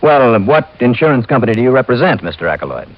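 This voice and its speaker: An actor playing a brisk, persistent American insurance investigator, clipped and insistent, in 1950s radio detective drama style. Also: male voice, mid-range voice, big pitch swings, natural voice. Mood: brisk questioning. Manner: clipped, insistent, hard-boiled. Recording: dull old radio recording with music.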